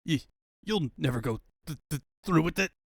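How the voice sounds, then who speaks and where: A man's voice: a little high, animated, moderate pace, husky, dry recording, studio quality, pitched above the performer's own voice. A man voicing a blustering, tyrannical but cowardly cartoon villain in a menacing, bombastic way for a comic fan dub. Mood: angry disbelief.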